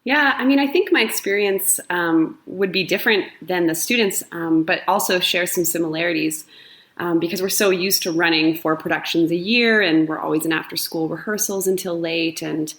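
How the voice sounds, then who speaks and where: A woman's voice: on the low side, normal speed, a bit bright. A woman drama teacher answering interview questions earnestly and reflectively, with frequent "um" fillers. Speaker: high-school drama teacher.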